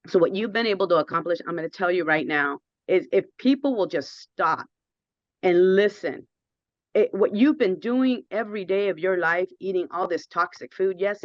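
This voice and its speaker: A woman in her fifties with a hoarse voice, a guest telling her story earnestly and with emphasis. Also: fairly low pitch, dry recording, dull audio. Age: 50s. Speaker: guest sharing her experience.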